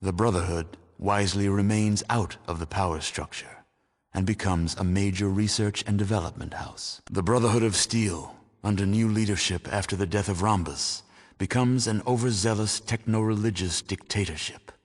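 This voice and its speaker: Man as a deep, solemn doomsday narrator. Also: natural voice. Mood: grave, portentous. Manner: solemn, measured, portentous. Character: grave male storyteller recounting a post-apocalyptic world's fate. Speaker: narrator of a game's endings. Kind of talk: scripted dramatic narration of an epilogue. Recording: long reverberant hall echo.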